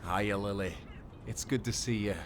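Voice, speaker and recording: A man as a low-voiced old seafarer. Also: normal speed, very noisy, lightly acted. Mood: warm. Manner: calm, reassuring, folksy. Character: kindly, steady old Scottish seafaring grandfather. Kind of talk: cinematic audio roleplay acting.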